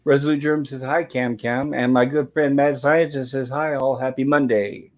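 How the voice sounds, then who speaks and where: Slightly raspy man hosting a livestream. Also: mid-range voice, steady tone, moderate pace, dull audio. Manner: polite, welcoming, appreciative. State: throat irritated by smoke, holding back a cough. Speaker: livestream host. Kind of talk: casual livestream chat.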